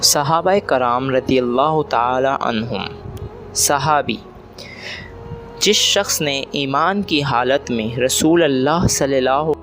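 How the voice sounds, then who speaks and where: A man reading scripted religious narration in a formal, measured manner. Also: medium pitch, a bit bright, slight background noise.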